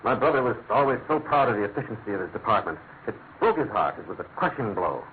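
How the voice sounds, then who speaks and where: An actor playing a distraught gentleman colonel in a classic radio drama, stiff and formal. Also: on the low side, big pitch swings, fast talker. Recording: tinny, faintly noisy vintage radio.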